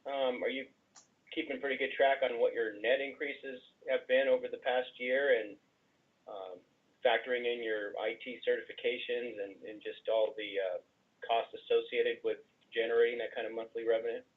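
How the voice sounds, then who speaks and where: A man asking a business question in a halting, conversational way over a telephone conference line. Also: mid-range voice, flat delivery. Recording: tinny band-limited telephone line.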